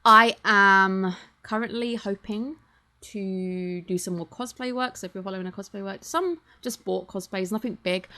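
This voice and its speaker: A woman's voice: fairly high pitch, bright, forceful tone. A British woman in her twenties, chatting casually and in a rambling, self-deprecating way as she thanks her channel's viewers. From Britain. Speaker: channel host. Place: home streaming setup. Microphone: computer or webcam mic.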